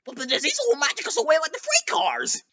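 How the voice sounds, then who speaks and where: Two tiny tank engine twins chatter in high, bright, slightly breathy voices. They are cheeky and quarrelsome, and their pitch leaps around constantly. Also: male voice, wildly swooping pitch, dull audio, heavily acted. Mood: whispering. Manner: squeaky, mischievous, quick to argue.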